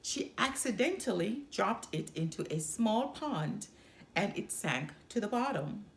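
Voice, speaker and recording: Female children's story narrator, slow and deliberate, highly animated, with noticeable vocal fry. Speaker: storytime host.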